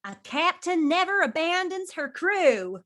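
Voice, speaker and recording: Woman voicing a high, plucky little cartoon captain. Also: big pitch swings, a little slow, a bit bright, exaggerated voice. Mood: earnest. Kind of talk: animation script table read.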